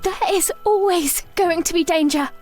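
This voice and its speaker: A woman acts out a defiant young princess in a fantasy audio drama. Her voice is extremely high, urgent and insistent. She pushes every line with sharp indignation over a bed of music. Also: normal speed. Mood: frustrated.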